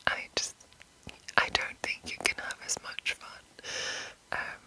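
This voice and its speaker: Whispering woman, slow and hushed ASMR. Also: poor audio. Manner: unhurried, hushed, rambling and informal. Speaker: ASMR channel host. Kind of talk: whispered ASMR, rambly introduction to a role-playing game.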